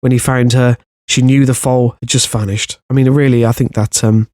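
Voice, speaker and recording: A man's voice: medium pitch, little pitch movement, a little mellow, dry recording. Hesitant Welsh man, dry close sound. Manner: hesitant, halting, giggly. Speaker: podcast co-host. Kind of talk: comedic news reading.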